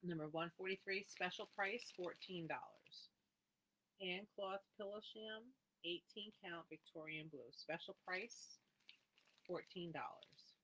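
A woman's voice: lowish voice, a little slow, a bit bright, a little hiss or hum, low-quality recording. A woman hosting a live needlework sale, reading out item numbers and prices and chatting with viewers by name. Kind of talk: live sales presentation, casual chat.

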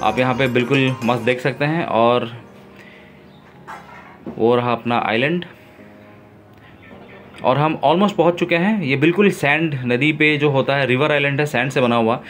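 A man narrates a casual travel vlog in a relaxed, enthusiastic way and pauses often on fillers. He speaks at a middle pitch into a handheld phone outdoors, with faint music under him. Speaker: travel vlogger. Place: outdoors on a river boat and island.

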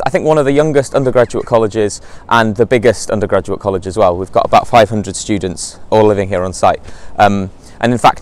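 A young man from Wales, giving a casual, upbeat walking tour of his college on a lapel mic. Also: mid-range voice. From North Wales. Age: young adult. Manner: upbeat, chatty, many ums and likes. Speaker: college outreach officer. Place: college campus, outdoors and indoor halls.

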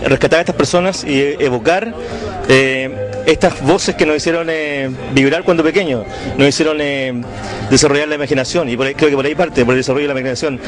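A Chilean man in his forties gives a halting interview into a handheld mic. His voice is slightly high, with music clearly behind it. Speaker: event producer. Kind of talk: informal TV interview. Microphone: handheld interview microphone.

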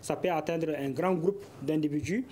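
A young man, a guest expert on a studio panel, explaining in a measured way with frequent hesitations. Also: fairly high pitch, mellow, slight background noise. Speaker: researcher guest. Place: television studio.

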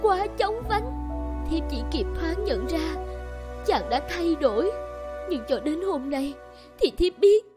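A very high-voiced gentle bride. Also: female voice, sing-song, slow pace, long pauses, music in the background, exaggerated voice. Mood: frightened. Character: gentle, anxious, devoted village bride. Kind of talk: animated folk-tale dialogue.

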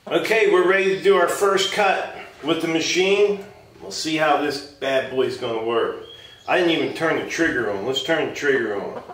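A man's voice: a little high, normal speed. A middle-aged American man giving a casual hands-on tool demonstration in a plainspoken, folksy way. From United States. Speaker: homestead vlogger reviewing a tool. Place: open wooden workshop shed. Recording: roomy echo, clear background noise, clatter.

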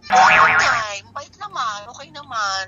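A woman's voice: on the high side, animated, a little slow, loud music behind the voice. A woman chatting casually with a friend on a phone call. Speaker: younger friend receiving a prank call. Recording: dull, very noisy phone call on speaker.

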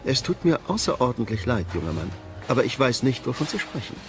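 An actor playing a stiff, courteous old hotel receptionist from the 1940s in a German audio drama, formal and puzzled. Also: male voice, medium pitch, big pitch swings, fairly quick, fairly bright tone, a little hiss or hum. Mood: puzzled, polite.

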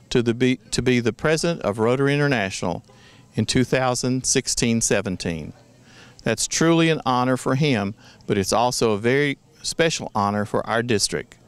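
A man's voice: mid-range voice, smooth. A man in his sixties, a district governor, giving an earnest prepared address outdoors in the woods on a handheld mic. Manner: earnest, measured, with small restarts. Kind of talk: prepared address to club members. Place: outdoors in a wooded forest. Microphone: handheld mic held near the chin.

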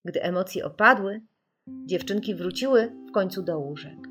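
A woman narrating a children's audiobook in an expressive, scripted storytelling style. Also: mid-range voice, moderate pace. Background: music.

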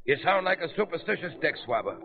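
An actor playing a commanding sea captain in a 1930s radio drama. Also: male voice, on the high side, very animated, fast, creaky, crowd noise. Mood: dry, unruffled. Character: commanding male sea captain, dryly unruffled until danger strikes. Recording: muffled 1930s radio recording with music.

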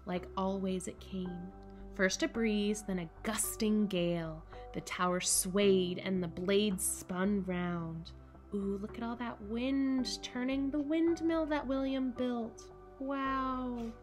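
Expressive woman reading to children. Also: mid-range voice, slow pace, soft background music. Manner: animated, encouraging, wide-eyed emphasis. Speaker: children's story reader, camp director. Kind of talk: expressive picture-book read-aloud and chat to children. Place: small indoor playroom.

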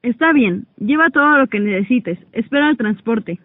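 Gentle mom in a radio play, woman acting, really high voice, thin phone-like audio. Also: animated, normal speed, no echo. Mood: tender. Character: gentle affectionate mother of a teenage daughter. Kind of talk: radio drama dialogue. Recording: dry, thin phone-like amateur recording.

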